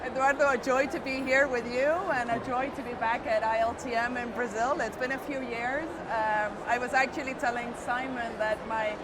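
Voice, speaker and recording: A middle-aged woman from Spain, an executive telling her career story in a relaxed interview, speaking fluently with some fillers. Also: a little high, moderate pace, few pauses. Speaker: hotel company executive. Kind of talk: conversational interview. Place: busy trade show floor. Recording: loud background noise at a trade show.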